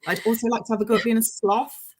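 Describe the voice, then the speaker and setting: A woman's voice: on the high side, flat delivery, quick pace, darkish tone, breathy edge. A woman, a guest author chatting casually and playfully in an interview, with a self-deprecating, jokey manner.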